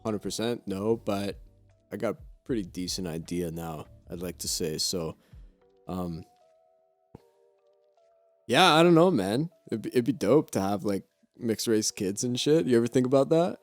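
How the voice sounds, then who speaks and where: Young man, Romanian native speaker, podcast co-host, low voice, relaxed slangy chat, faint music behind. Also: a little slow, pauses often, dry recording. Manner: laid-back, laughs often, slangy. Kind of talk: casual chat.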